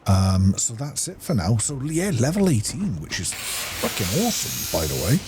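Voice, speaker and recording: A man's voice: mid-range voice, wildly swooping pitch, normal speed, darkish tone, slight background noise. A man giving casual gameplay commentary, thinking aloud in an informal way. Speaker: gaming video host.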